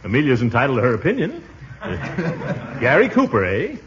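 An actor playing a blustery, vain middle-aged uncle in a 1940s radio comedy, with an American accent and theatrical hemming and hawing. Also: male voice, medium pitch, wildly swooping pitch, brisk, a crowd in the background. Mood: flattered, bashful. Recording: muffled, noisy old radio broadcast.

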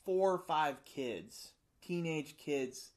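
Middle-aged guy, high and light voice, swaps loose, laughing anecdotes as a guest. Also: slow pace. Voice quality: high-pitched voice for his size. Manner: animated storyteller, acts out quotes with laughs. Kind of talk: casual storytelling chat.

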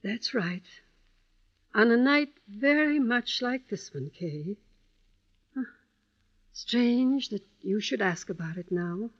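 An actress playing a kindly, wistful elderly widow in an old-time radio drama, gentle and hesitant, with fond endearments. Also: female voice, a little high, animated, takes it slowly, long pauses. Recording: dull vintage radio recording.

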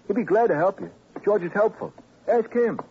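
An actor playing a worn-out, nervous former champion boxer in an old radio drama, hesitant, repetitive and defensive. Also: male voice, high voice, moderate pace, lightly acted. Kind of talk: old-time radio crime drama acting. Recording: muffled, noisy vintage radio recording.

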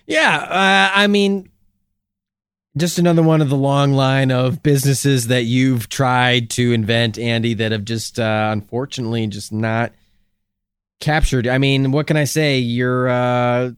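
Dry, smooth male co-host delivering deadpan improv bits for a comedy podcast, close and dead-sounding. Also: medium pitch, a little slow, no echo. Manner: deadpan, riffing absurd confessions.

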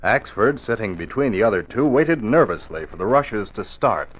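A man's voice: fairly low pitch, expressive, normal speed, husky, dull audio. A man reading scripted narration for an old-time radio drama. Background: loud music.

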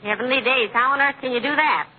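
A woman playing a patient, gently teasing wife in 1940s-style radio comedy, with a wry, folksy delivery. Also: high-pitched, quick pace, slightly rough. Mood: curious, amused. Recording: noisy, tinny old radio recording.

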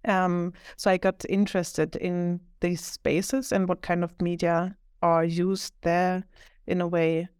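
A woman, answering interview questions as an author in a thoughtful, measured way with frequent fillers. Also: fairly low pitch, level tone, darkish tone, smooth, dry recording, clean studio sound. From Germany. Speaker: author, media scholar.

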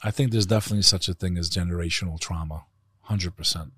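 Deep-voiced man with a New York accent. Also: quick pace, pushed and bright, breathy edge, no echo, clean studio sound. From United States. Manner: passionate, emphatic storytelling. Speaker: guest. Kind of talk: casual podcast conversation.

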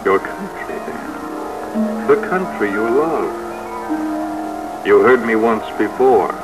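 The spirit of a nation, low and slow, over music. The delivery is folksy and quietly probing, with an American accent, in a vintage radio play. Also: male voice, sing-song, full character voice, low-quality recording. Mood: gently probing. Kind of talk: old-time American radio drama acting. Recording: vintage radio broadcast recording.

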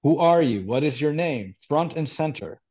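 A man's voice: fairly high pitch, animated, normal speed, darkish tone, dull audio. A man reading as a stern wartime sentry. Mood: challenging. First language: Hebrew. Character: stern wartime sentry guarding a post, male.

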